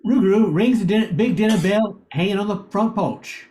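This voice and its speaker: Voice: mid-range voice, moderate pace, very noisy. An older amateur narrator reading a scripted radio play, occasionally stumbling and repeating words.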